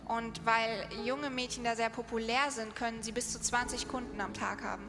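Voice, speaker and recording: A woman's voice: a little high, steady tone, moderate pace. A woman interpreting live from a stage, passing on each phrase in turn. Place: large event hall. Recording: hall reverb, music behind, live PA. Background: music.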